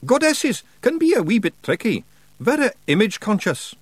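A man's voice: sits high, sing-song, normal speed, slightly rough, no echo, pitched above the performer's own voice, full character voice. A man voicing a tiny, boisterous male fairy warrior chief with a broad Scottish accent in an audiobook. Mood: blustering. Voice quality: broad dialect, blustering and cheerful. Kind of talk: audiobook character voice.

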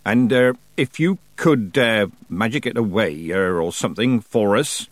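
A worried country father, humble and halting as a male narrator plays him. He hesitates awkwardly as he asks for help, in a dry storybook recording. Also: on the low side, lively intonation, dry recording.

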